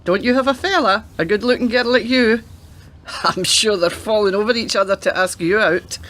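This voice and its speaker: A woman's voice: mid-range voice, normal speed, fairly bright tone, natural voice. An actress playing a chatty, doting and cheeky old grandmother in a radio play, fussing and teasing as she talks.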